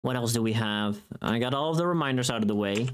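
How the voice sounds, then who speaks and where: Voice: medium pitch, fast, slight background noise. A VTuber streamer chatting casually with viewers in a rambling, teasing, informal way with some mild swearing. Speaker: VTuber livestream host. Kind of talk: casual livestream chat with viewers.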